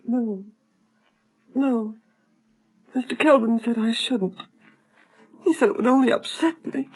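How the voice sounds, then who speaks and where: Very high, plaintive young lady. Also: darkish tone, husky. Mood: grieving, defensive. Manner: halting, plaintive. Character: fragile, grieving, trusting orphaned daughter. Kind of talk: 1930s radio acting. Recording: vintage 1930s radio broadcast.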